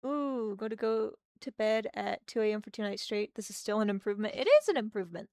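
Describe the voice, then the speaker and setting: Slightly hoarse woman, chatty and gravelly. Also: mid-range voice, brisk, darkish tone, no room sound, clean studio sound. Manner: chatty, thinking aloud, muttering to herself as she works. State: slightly hoarse from a sore throat. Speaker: art streamer. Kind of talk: casual livestream chat while drawing.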